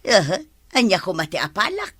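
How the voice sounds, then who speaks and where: Bright, animated Ilocano village housewife. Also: female voice, medium pitch, brisk, exaggerated voice. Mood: skeptical. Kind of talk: comedic radio drama acting.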